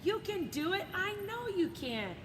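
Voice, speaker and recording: A woman's voice: very high-pitched, big pitch swings, a little slow, a bit bright, voice pushed up, full character voice. A woman voicing an eager, encouraging young reindeer in a children's storybook, upbeat and coaxing. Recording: echoey noisy room.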